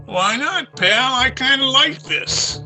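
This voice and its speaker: A man's voice: extremely high pitch, expressive, slow, bright, forceful tone, faint music behind, heavily acted. An actor playing a sadistic, taunting gunman in an old-time radio crime drama, with drawled tough-guy slang. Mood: gleeful, goading. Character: sadistic male gunman, taunting drunken killer.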